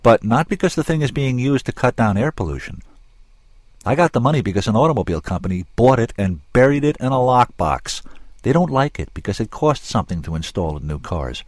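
A man's voice: medium pitch, very animated, fairly quick, no echo, clean studio sound. A man voicing an angry, driven male inventor, blunt and emphatic, in an audiobook story. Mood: bitter, impassioned. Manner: emphatic, impassioned, barking.

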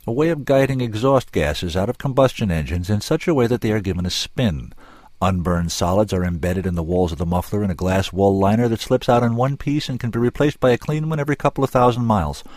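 A man acting an angry, driven inventor, animated swings. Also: fairly low pitch, dry recording. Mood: explaining. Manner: emphatic, impassioned, barking. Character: angry, driven male inventor, blunt and defiant.

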